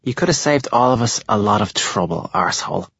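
A man's voice: on the low side, lively intonation, fairly quick, vocal fry, fairly bright tone, husky, no room sound, lo-fi sound, voice pushed down, heavily acted. A man voicing a cruel, threatening teenage bully. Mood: menacing. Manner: sneering, threatening.

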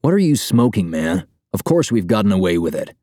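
A man's voice: low voice, sing-song, brisk, mellow, no echo, studio quality. A man voicing a resentful, profane crewman in an audiobook, sarcastic and combative. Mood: indignant. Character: scathing, resentful Boston Irish crewman, profane and dismissive.

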